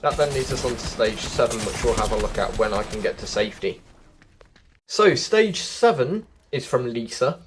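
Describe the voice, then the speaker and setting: A man's voice: mid-range voice, animated, normal speed, a little hiss or hum. Chatty man hosting a game walkthrough. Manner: chatty, addresses viewers as folks. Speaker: gaming video host. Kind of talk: casual game walkthrough commentary.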